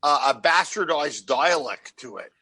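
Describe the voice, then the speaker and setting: A man's voice: fairly high pitch, expressive, a little slow, pushed and bright, breathy edge. A man from Canada hosting a casual interview, an eager questioner who keeps repeating his points. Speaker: interview host.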